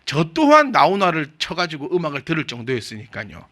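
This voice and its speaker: Lively high-voiced pastor with Gyeongsang accent. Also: male voice, fairly quick. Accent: Gyeongsang Korean. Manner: chatty and humorous, asks the congregation questions. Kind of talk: conversational sermon.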